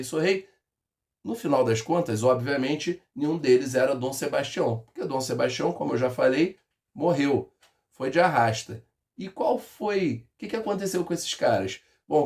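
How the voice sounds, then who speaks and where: A man's voice: on the high side, a little mellow. A man with a Carioca accent teaching a casual history review, telling it as a joking story full of slang. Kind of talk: casual exam review lesson.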